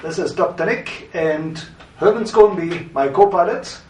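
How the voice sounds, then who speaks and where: Middle-aged man, German accent, fairly high voice, measured explainer, echoey room, mic set back. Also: expressive, slight background noise. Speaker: course presenter. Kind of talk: scripted course introduction. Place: studio with green-screen backdrop. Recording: roomy, from a distance.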